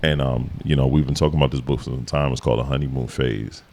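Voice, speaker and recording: A man co-hosting a podcast, with a deep voice that carries, talking in a relaxed, rambling way with lots of fillers. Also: fast talker. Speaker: podcast co-host. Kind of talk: casual chat.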